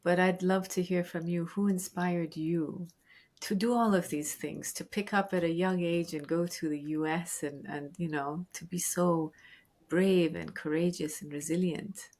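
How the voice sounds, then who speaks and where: Low-voiced woman podcast host. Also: fairly even intonation, unhurried. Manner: warm, curious, reflective questions with stammered restarts. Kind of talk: interview conversation.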